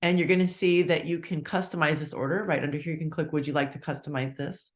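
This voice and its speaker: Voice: mid-range voice, fairly even intonation, quick pace. Chatty fifty-something webinar presenter. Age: 50s. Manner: chatty, walks through prices step by step, checks in with "okay?". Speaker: webinar presenter selling a meal plan. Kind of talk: casual live sales pitch.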